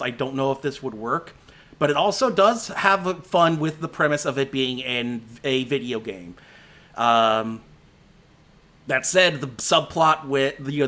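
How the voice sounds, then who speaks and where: A man's voice: on the high side, sharp tone. A man with a voice that is giving out, running through his favorite movies of the year in a casual, rambling stream, full of restarts and fillers. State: voice giving out, slightly hoarse. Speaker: movie critic.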